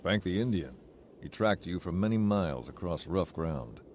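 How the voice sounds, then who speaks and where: Gruff, deep-voiced cavalry officer. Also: male voice, expressive, moderate pace, a little hiss or hum. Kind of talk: video game cutscene acting.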